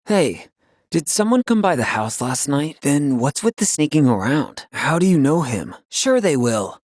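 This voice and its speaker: A man's voice: a little high, sing-song, a little slow, darkish tone, no echo, clean studio sound. A man voicing an upbeat, loyal young ex-soldier in a video game, with a casual, boyish, earnest delivery. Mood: casual, determined.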